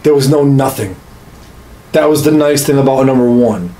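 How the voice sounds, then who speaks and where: A man's voice: mid-range voice, moderate pace, mellow. Insistent man in a roomy, echoing space. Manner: foul-mouthed, insistent, argumentative. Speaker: podcast co-host. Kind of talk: casual chat about video games. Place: small indoor home studio room. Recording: roomy, echoing small room.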